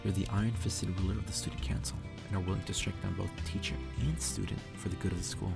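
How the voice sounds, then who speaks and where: A man softly reading the lines of an easygoing, kindly male schoolteacher from a story. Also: low-pitched, steady tone, quick pace, gravelly, over loud music. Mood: calm explaining.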